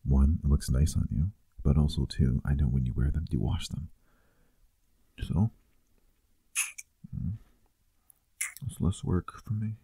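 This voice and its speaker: Very deep, husky boyfriend, acted by a man. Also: animated, measured pace, long pauses, dark tone, no room sound, heavily acted. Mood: tender. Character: teasing, affectionate boyfriend busy with work. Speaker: ASMR roleplay performer. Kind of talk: intimate boyfriend roleplay ASMR, casual chat.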